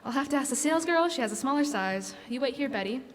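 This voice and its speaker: A woman's voice: sits high, lively intonation, quick pace, slight background noise. A woman playing a chatty, easily flustered motherly shopper in a classic-style radio play, with theatrical delivery. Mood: chatty, pleasant. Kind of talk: live stage radio drama in classic style. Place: stage in a hall, live performance. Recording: echoey stage hall recording.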